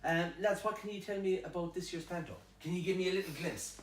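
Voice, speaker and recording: A man's voice: sits high, steady tone, quick pace. A man with an Irish accent hosting a casual chat interview with jokey banter. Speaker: interviewer, host. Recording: echoey room, distant mic.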